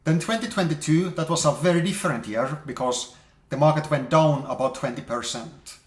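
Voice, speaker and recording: A man giving a measured, explanatory investment presentation, walking through charts as an investor. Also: on the high side. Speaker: investor presenting market outlook. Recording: roomy, echoey sound.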